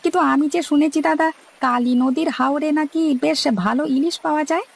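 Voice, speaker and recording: A woman voicing an eager, deferential male boatman's helper in a cartoon, creaky, with a questioning, folksy manner. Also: high-pitched, fairly quick, slight background noise. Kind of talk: cartoon story dialogue.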